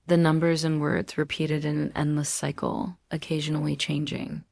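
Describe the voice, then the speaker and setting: A woman with a low voice narrates a scary story as its host. There is steady fry in her tone, and she keeps her pitch within a narrow band. She speaks deliberately, leaving dramatic pauses between phrases. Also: no echo, studio quality. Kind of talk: scripted horror story narration.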